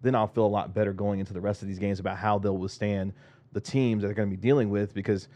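Low, even-toned man giving sports commentary. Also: fast talker. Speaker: sports panelist.

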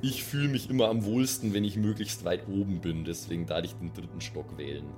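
A male tabletop player improvises his moves in casual talk. His voice is on the low side. Music is clearly heard behind him. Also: a little fast, a little mellow. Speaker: player in tabletop role-play. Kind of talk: casual improvised game chat. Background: clear background music.

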